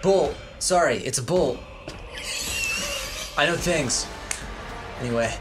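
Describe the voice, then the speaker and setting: A giggly, excitable woman chatting casually as a player in a tabletop game. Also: sits low, takes it slowly. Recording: music under the voice. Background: background score.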